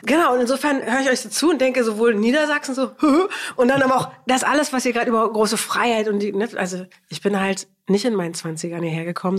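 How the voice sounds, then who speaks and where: A woman in her fifties from Berlin, hosting a podcast in a lively conversation, laughing often. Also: medium pitch, breathy edge. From Berlin, Germany. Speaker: podcast host. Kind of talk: casual conversation.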